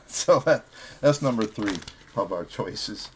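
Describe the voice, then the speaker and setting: A man's voice: lowish voice, animated, takes it slowly, a little hiss or hum. A man hosting a music vlog, chatting casually as he reviews records with friends.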